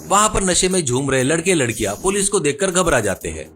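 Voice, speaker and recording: Animated male cartoon story narrator. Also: on the high side, a little fast, faint music behind.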